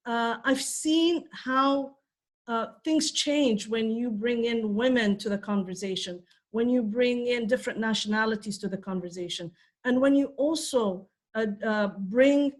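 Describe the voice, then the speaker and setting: Woman in her 50s from ethiopia, talking on a panel, lots of uhs, slightly high voice. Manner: conversational, many fillers and restarts. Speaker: conference speaker. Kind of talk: talk at an online panel.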